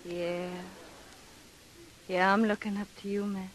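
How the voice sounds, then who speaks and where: A woman's voice: mid-range voice, level tone, a little slow, close to an everyday voice. An actress playing a tough yet tender young American woman, plain-spoken and guarded, in a 1930s radio drama. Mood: playful. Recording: hissy, noisy 1930s radio broadcast.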